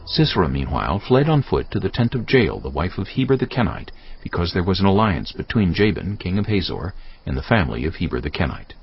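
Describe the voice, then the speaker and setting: Deep-voiced male scripture narrator, expressive. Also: fairly quick, husky, dull audio. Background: music.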